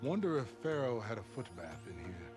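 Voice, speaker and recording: An actor playing a fussy, fawning aide to a grand ruler in a video game, polished and formal in manner. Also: male voice, fairly low pitch, sing-song, a little fast, music in the background. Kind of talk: video game cutscene acting.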